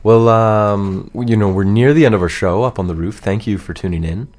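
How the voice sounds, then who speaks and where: A woman with an exceptionally low voice co-hosts a casual music show. She talks in a playful, hesitant way and tends to repeat her phrases. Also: normal speed, darkish tone. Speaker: radio show co-host.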